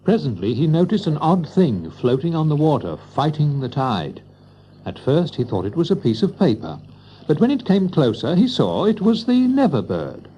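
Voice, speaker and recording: A man narrating a scripted children's story in an expressive storytelling manner. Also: fairly high pitch, normal speed, dull audio, a little hiss or hum.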